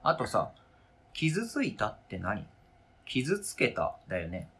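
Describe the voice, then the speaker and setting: A man's voice: lowish voice, huge pitch swings, takes it slowly, a bit bright, husky, full character voice. A man acting in a radio drama reading as a wounded, indignant adult who argues with cold, pedantic logic and interrogates relentlessly. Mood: cold indignation. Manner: pedantic, interrogating, formal argumentative phrasing.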